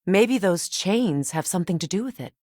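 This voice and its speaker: A woman voicing a composed, wry, middle-aged warrior goddess, a measured and knowing companion in a video game. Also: lowish voice, animated, a little mellow, dry recording, clean studio sound. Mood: calm, wry.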